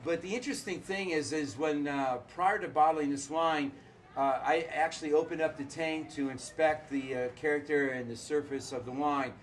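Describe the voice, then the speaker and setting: A hoarse middle-aged man, a winemaker, talking casually and haltingly with many filler uhs. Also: a little high, fairly even intonation. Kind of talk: casual chat.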